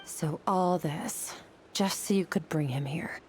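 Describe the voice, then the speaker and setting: Low-voiced, cold warrior woman. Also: slow, slight background noise. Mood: weary, cold. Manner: stoic, clipped, controlled. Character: cold, stern warrior woman who rejects her feelings. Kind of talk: dramatic game cutscene acting.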